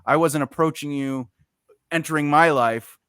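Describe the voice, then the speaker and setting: A man's voice: fairly high pitch, normal speed, a bit bright, smooth, dry recording, studio quality. A man hosting a casual podcast chat, rambling with lots of fillers and restarts. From United States. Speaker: podcast host.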